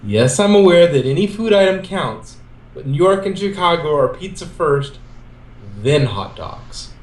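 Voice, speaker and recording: A man's voice: a little high, big pitch swings, a little slow. A man reading fan fiction aloud, switching between scripted narration and character dialogue. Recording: echoey room, amateur recording.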